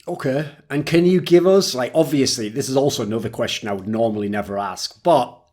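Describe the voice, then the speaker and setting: A man's voice: medium pitch, fairly quick, a little mellow. Talkative man hosting an interview. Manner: talkative, long winding questions with many likes. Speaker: host. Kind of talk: casual interview conversation.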